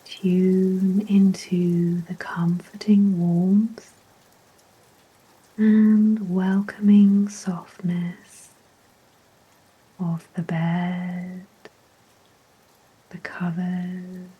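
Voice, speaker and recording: A woman guiding a sleep hypnosis relaxation in a soft, whispery, gentle and soothing voice, with long unhurried pauses. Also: fairly low pitch, breathy edge, highs cut off. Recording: steady rain sounds behind voice. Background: rain ambience.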